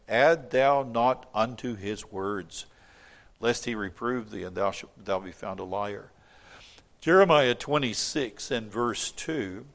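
A man preaching a sermon to his congregation, emphatic and repeating words when stressing a point, reading scripture aloud in measured tones. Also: mid-range voice, a bit bright. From from outside Australia. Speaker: pastor.

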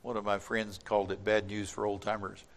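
A man preaching a sermon to his congregation, emphatic and repeating words when stressing a point, reading scripture aloud in measured tones. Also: lowish voice, monotone. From from outside Australia. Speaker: pastor.

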